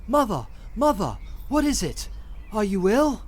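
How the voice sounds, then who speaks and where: A man's voice: sits high, sing-song, measured pace, heavy background noise. A man playing an earnest medieval king, a loving husband, with formal theatrical delivery in an audio drama. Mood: concerned, startled. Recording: music under the voice.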